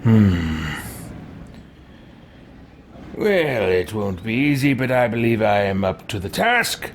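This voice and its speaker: A man's voice: lowish voice, big pitch swings, a little slow, slight background noise. A man giving roleplay game commentary, thinking aloud with hesitant musing and haughty in-character remarks. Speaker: gaming roleplay video creator. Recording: game music behind the voice. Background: video game music.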